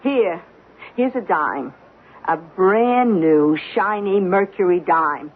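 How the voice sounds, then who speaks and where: A woman's voice: on the low side, animated, slow. A woman acting in a 1970s radio drama as a strong-willed working-class housemaid and wife, with an emphatic delivery. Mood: insistent, urgent. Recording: noisy, phone-like old radio recording.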